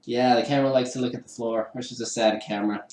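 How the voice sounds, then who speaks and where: A man's voice: mid-range voice, steady tone, fast, darkish tone, echoey room. A man chatting casually with live-stream viewers while assembling a kit, laughing often and poking fun at himself. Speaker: livestreamer building a 3D printer kit. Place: home room with a garage downstairs.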